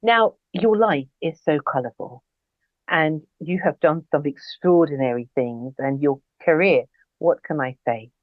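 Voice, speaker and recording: A woman's voice: low-pitched, measured pace, no room sound, phone-quality sound. A woman from the UK hosting an interview, asking effusive, admiring questions. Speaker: podcast host.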